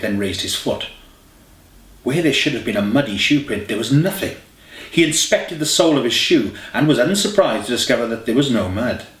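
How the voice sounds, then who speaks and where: A man's voice: medium pitch, fairly bright tone. A man reading a scripted story as an audiobook narrator. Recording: roomy, echoey home recording.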